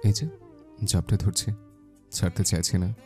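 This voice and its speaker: Man playing a very dark-voiced romantic officer husband. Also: low-pitched, steady tone, unhurried, soft background music. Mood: playful, tender. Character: romantic, possessive young army officer husband, teasing and intense. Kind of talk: romantic audio story acting.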